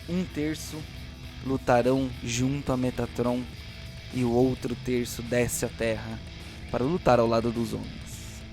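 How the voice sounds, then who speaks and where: A man narrating and hosting an audio role-playing drama as game master. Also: mid-range voice.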